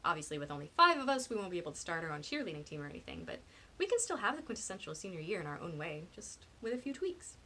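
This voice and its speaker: A woman's voice: lowish voice, very animated, quick pace, sharp tone, a little hiss or hum. A woman voicing an eager, earnest teenage girl who rambles enthusiastically in an audiobook.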